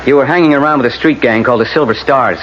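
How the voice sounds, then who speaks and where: An actor playing a blunt, sardonic middle-aged American defense lawyer in a radio drama. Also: male voice, medium pitch, quick pace, fairly bright tone, close to an everyday voice. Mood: dry, sardonic. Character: blunt, world-weary male defense lawyer. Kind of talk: 1980s radio drama acting. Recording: muffled, noisy old radio broadcast.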